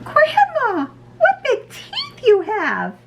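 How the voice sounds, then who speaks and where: A woman's voice: very high voice, huge pitch swings, slow, creaky, a little hiss or hum, voice pushed up, heavily acted. A woman voicing an innocent, wide-eyed little girl in a storybook, with a sweet sing-song delivery. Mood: alarmed wonder.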